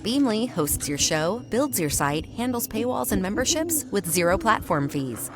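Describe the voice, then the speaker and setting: A woman's voice: mid-range voice, big pitch swings, normal speed. A woman announcer delivering a scripted podcast advertisement. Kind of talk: scripted ad read.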